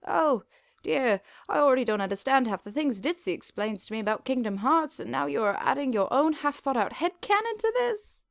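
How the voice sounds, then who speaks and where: A woman's voice: high voice, very animated, moderate pace, gravelly, dry recording, voice pushed up, exaggerated voice. A woman voicing a weary, sardonic male pony doctor who heckles a story in a dry, exasperated way. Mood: sarcastic exasperation.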